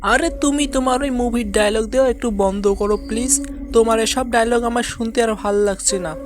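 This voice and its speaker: A scornful young woman from a cartoon love story, acted out by a man, speaks in a high voice over loud music. She is haughty and insulting toward her poor admirer. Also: fairly even intonation, moderate pace.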